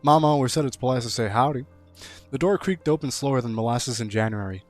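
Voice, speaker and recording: A man's voice: medium pitch, faint music behind, lightly acted. A man voicing a folksy rural storyteller, spinning a playful spooky tall tale read aloud. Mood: folksy, animated. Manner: folksy, playful, drawn-out similes.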